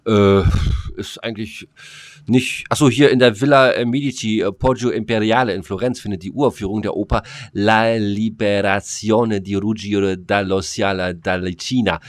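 A man with a German accent bantering casually as a podcast host, in a halting, rambling way that often stumbles. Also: fairly low pitch, steady tone. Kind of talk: casual banter between friends.

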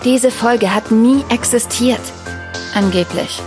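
An actress playing an obsessive young woman podcaster narrating an audio-drama mystery in a deliberate, ominous way. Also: fairly high pitch. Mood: ominous, determined. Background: music.

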